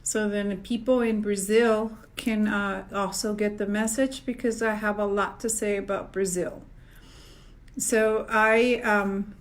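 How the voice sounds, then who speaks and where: Woman, middle-aged, psychic, earnest, halting, many fillers, slightly high voice. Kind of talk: solo predictions monologue to camera.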